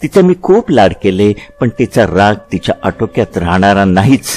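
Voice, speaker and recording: A man playing Krishna as a tender, reflective lover looking back in a dramatized literary dialogue, speaking with halting repetitions. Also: lowish voice, sing-song, darkish tone. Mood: tender reminiscing.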